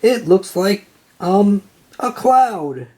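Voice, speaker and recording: A storyteller plays a male cartoon starfish from a picture book. He is dim and unbothered, talking slowly in a husky voice. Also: mid-range voice, expressive, warm tone, voice pushed up. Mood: unimpressed.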